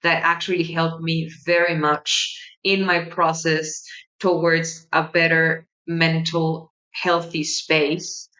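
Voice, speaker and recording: A young woman from Mexico, a native Spanish speaker with a Mexican Spanish accent, hosting her podcast in a gentle, reflective monologue with soft pauses. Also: fairly low pitch, rough recording. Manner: gentle, warm-hearted, unhurried with soft pauses. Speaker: podcast host.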